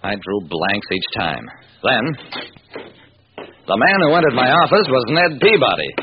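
Stubborn, wisecracking detective narrator, lively mid-pitched voice, vintage radio drama with dull, noisy sound. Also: male voice, a little slow. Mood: wry narrating. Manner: wry, punchy storytelling. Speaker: narrator and lead character. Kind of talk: old-time radio drama, first-person scripted narration.

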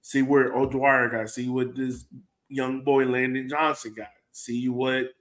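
A man's voice: medium pitch, level tone, measured pace, a bit bright. A man co-hosting a sports fan podcast, talking casually and informally with a resigned manner. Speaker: co-host of a soccer fan podcast.

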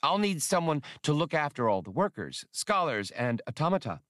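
Male narrator as excitable alien academic, fussy, scholarly, precise, animated pitch swings, sci-fi audiobook. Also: moderate pace, no echo, studio quality. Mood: eager.

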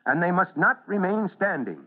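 No-nonsense fire chief, authoritative, brusque, animated higher voice, thin vintage radio sound. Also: male voice, normal speed. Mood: earnest, instructive. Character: gruff, impatient, no-nonsense male fire chief. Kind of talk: old-time radio drama acting. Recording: tinny, hissy old radio recording.

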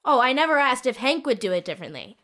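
A woman's voice: high voice, very animated, fast, bright, forceful tone. A woman interviewing a musician in a casual chat, wry and teasing, with pointed questions.

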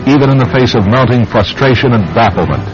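A man's voice: mid-range voice, quick pace. A man narrating a radio drama as its announcer, in a dramatic scripted style. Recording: dull radio recording over music. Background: music.

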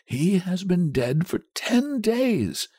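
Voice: medium pitch, big pitch swings, slow pace, warm tone, breathy edge, no room sound, clean studio sound, voice pushed up, full character voice. A narrator voicing a fearful old husband, faltering and unsteady, in a dramatic story reading. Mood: shaken, stammering. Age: older. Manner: quavering, stammering, unsteady.

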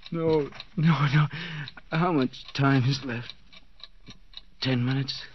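A man's voice: mid-range voice, fairly even intonation, slow pace, lightly acted. An actor playing a bound, desperate man coming apart in a cellar, stammering and pleading in overwrought 1940s radio suspense style. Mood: anxious, muttering. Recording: dull, noisy 1940s radio recording.

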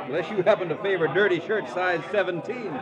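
A man's voice: sits high, a little fast, close to an everyday voice. An actor playing a chummy, overbearing traveling salesman with a folksy, pushy way of talking, in 1940s radio drama style. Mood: hearty, chummy. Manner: loud, folksy, pushy, chuckling backslapper. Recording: dull old radio recording, crowd bustle behind.

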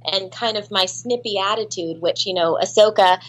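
A woman's voice: mid-range voice, normal speed, poor audio. A woman guest in an interview, chatting casually and laughing at herself, with lots of ums and restarts. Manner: chatty, self-deprecating, many fillers and restarts. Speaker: voice actress guest.

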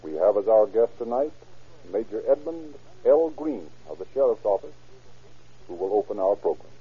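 A man's voice: lowish voice, lively intonation, normal speed, slightly rough. A man announcing and narrating a 1930s radio program in a formal, declamatory, scripted manner. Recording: tinny, band-limited 1930s radio recording.

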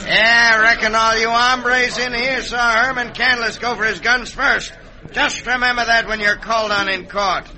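A man's voice: extremely high pitch, steady tone, normal speed, a crowd in the background, low-quality recording. An actor playing a sly, taunting hired gunman in an old radio western, with an American western accent. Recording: vintage radio recording.

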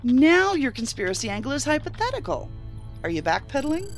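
Sardonic, lively female agent. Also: sits high, brisk. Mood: challenging, impatient. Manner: challenging, sardonic, blunt. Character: skeptical, sharp-tongued female federal agent. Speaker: actor in audio drama. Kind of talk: science fiction audio drama acting. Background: music under the voice.

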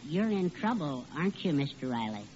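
A man's voice: on the high side, very animated, brisk. An actor playing a meek, henpecked little man, prim and plaintive, in a vintage radio sitcom. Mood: prim, worried. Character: meek, mousy, henpecked little male neighbor. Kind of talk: 1940s radio sitcom acting. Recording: muffled, noisy old radio recording.

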